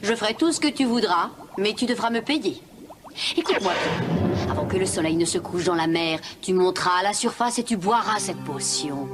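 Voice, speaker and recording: A woman's voice: medium pitch, animated, moderate pace, loud music behind the voice, lightly acted. Mocking wicked sea witch, played by a woman. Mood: sly, bargaining. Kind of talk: French-language animated film voice acting.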